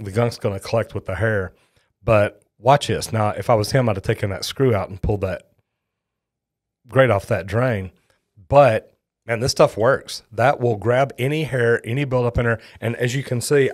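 A man, a plumber casually reacting to and trying out home hacks in a plainspoken, practical way. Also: mid-range voice. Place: studio set and a small restroom. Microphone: boom mic on desk and lapel mic.

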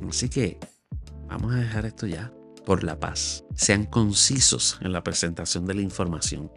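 A man's voice: sits low, vocal fry. Earnest older Puerto Rican man, close mic. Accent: Puerto Rican Spanish. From Puerto Rico. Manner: earnest, scolding, emphatic, talks with his hands. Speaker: YouTube commentator. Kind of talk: opinionated solo commentary. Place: small room at home, indoors. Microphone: large studio mic on a boom, close up.

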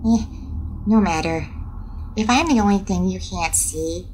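Lowish-voiced, soothing alien captain played by a woman. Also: measured pace, a little hiss or hum, lightly acted. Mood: gentle, playful. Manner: soothing, gently hesitant. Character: friendly alien woman starship captain, curious, reassuring, slightly awkward. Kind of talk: solo audio roleplay addressed to the listener.

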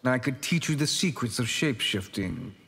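An actor playing a scheming, gloating sorcerer villain in a video game, taunting and smug, with some reverb. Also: male voice, mid-range voice, lively intonation, normal speed, a bit bright, breathy edge. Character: smug, scheming male sorcerer villain, shape-shifting tempter. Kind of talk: video game character acting.

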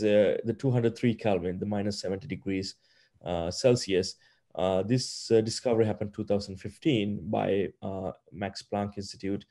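A man's voice: lowish voice, mellow. A man from Sri Lanka, a physics professor, explaining his research in a video-call interview, hesitant with many fillers and restarts. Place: wooden-walled room at home.

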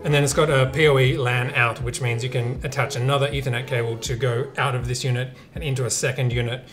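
A man's voice: mid-range voice, fairly even intonation, fast, fairly bright tone, faint music behind. A man in his thirties with an Australian accent, talking casually through a tech review as a vlogger. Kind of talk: casual unboxing and review narration.

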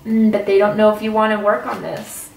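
A woman gives a casual, chatty tarot reading at her table, musing aloud with soft "ooh"s and "mm"s. Her voice sits in a middling range and is picked up from a little distance. The room is echoey, with a faint hiss underneath. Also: medium pitch, flat delivery, vocal fry. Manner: chatty, intuitive, drawn-out "ooh"s and "mm"s. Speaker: tarot reader. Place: indoors at a tarot table with crystals and candles. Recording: roomy, distant camera mic with faint hiss.

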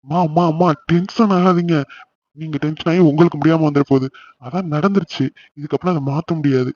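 Protective big brother for family drama male actor: highish voice, rapid, earnest pleading, muffled lo-fi sound. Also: steady tone, darkish tone, lightly acted. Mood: calm, reasoning. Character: protective, emotional young adult older brother pleading with family. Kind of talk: melodramatic family audio drama.

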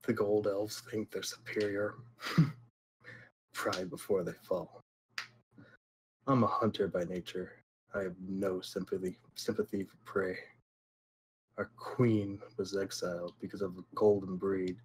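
Contemptuous fantasy elf hunter played by a man, very deep register, menacing. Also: flat delivery, unhurried, pauses often, slight background noise, poor audio. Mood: scornful. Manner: scornful, menacing. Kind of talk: character voice acting audition.

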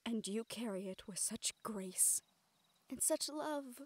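A woman's voice: on the high side, animated, slow. A woman acting a caring, encouraging siren-like mentor in an intimate audio roleplay, with a tender, earnest manner. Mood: tender, reassuring.

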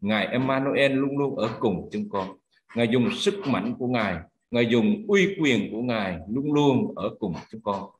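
A man's voice: medium pitch, animated, moderate pace. A man, a pastor, leading a spoken prayer with fervent repeated cries to God between phrases.

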